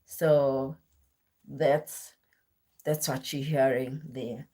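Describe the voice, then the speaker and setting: Young woman, husky, low-pitched, worn voice, casual rambling live-stream chat. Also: fairly even intonation, extremely slow, dark tone. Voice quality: husky, deep voice. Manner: rambling, filler-heavy, self-correcting. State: voice worn and deeper after a weekend of church singing.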